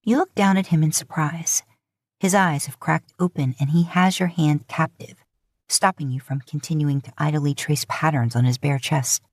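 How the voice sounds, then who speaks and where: A woman narrating a scripted romantic story as an expressive, intimate storyteller. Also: low voice, normal speed, no room sound, studio quality. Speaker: podfic narrator.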